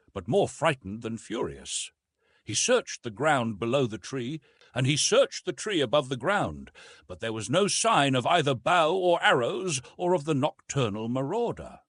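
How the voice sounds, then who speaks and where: A man narrates a classic adventure story with lively, swooping intonation. His voice sits at a medium pitch and has a husky grain. The delivery is measured and dramatic, recorded close and dry. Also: dry recording. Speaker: audiobook narrator.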